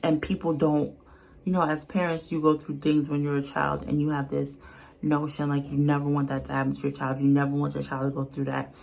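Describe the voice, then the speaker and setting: A woman's voice: low-pitched, completely flat delivery, brisk, gravelly, a little mellow. A woman giving casual, unscripted reaction commentary in a blunt, colloquial, earnest way. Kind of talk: casual, unscripted opinion talk.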